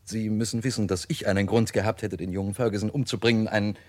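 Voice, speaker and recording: An actor playing a strange, shady suspect with weighty, drawn-out words in a 1950s radio thriller. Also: male voice, lowish voice, steady tone, darkish tone, no echo, close to an everyday voice. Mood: ominous. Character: strange, shady male suspect confessing a motive.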